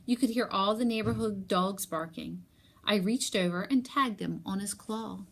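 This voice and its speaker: Female primary teacher for children's read-aloud. Expressive storytelling delivery, emphatic pauses, mid-range voice. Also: medium pitch, gravelly. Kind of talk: animated picture-book read-aloud and talk to pupils.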